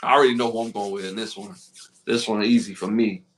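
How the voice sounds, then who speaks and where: A man's voice: on the low side, fairly even intonation, fast talker. A man with a Brooklyn accent co-hosting a casual podcast, slangy and repeating himself as he reads out list questions. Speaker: podcast co-host.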